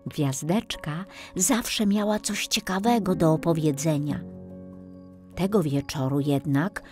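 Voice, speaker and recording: A woman narrating a scripted children's bedtime story in a gentle, expressive storytelling manner. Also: low-pitched, slow pace, soft background music. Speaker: audiobook narrator.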